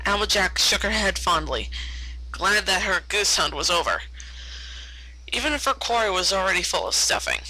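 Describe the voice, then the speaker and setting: A woman's voice: mid-range voice, big pitch swings, normal speed, pushed and bright, low-quality recording. A woman reading fan fiction aloud as a storyteller, often stumbling over words and repeating them. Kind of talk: read-aloud story narration.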